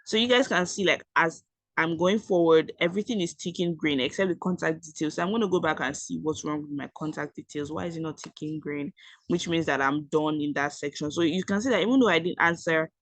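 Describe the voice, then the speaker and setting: A woman's voice: low voice, a little fast, no room sound. A Nigerian woman who speaks Yoruba at home, giving a chatty screen-share tutorial with many fillers and self-corrections. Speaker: education YouTuber.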